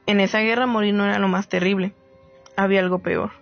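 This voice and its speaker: A woman plays a reflective writer narrating a radio play. Her voice is mid-pitched. It is recorded a bit dully with soft music behind. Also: fairly even intonation, fast, vocal fry, lightly acted. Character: reflective woman writer gathering war memories. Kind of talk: scripted dramatic narration. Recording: muffled lo-fi audio under music.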